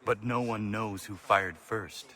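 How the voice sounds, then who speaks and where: An actor playing an earnest, brooding young warrior in a video game, speaking formally and bluntly in measured phrases. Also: male voice, fairly low pitch, bright, forceful tone. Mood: questioning, disapproving. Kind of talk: video game drama acting.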